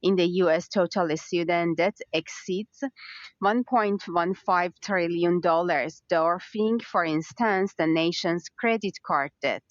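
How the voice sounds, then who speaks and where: A woman's voice: lowish voice, slow pace, dry recording, lo-fi sound. A woman reading a scripted practice passage aloud. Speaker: exam passage reader. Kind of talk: scripted read-aloud narration.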